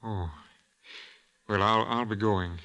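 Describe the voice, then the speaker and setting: An actor playing a gentle, apologetic country doctor who speaks haltingly in an old radio drama. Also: male voice, lowish voice, steady tone, smooth. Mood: sorrowful, awkward. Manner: hesitant, halting. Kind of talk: 1950s radio drama acting.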